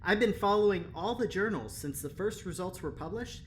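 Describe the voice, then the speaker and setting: A woman playing an eager, boastful male engineer in an audio drama, talking in an animated, hesitant ramble. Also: mid-range voice, a little fast, a little hiss or hum, natural voice. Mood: defensive, eager.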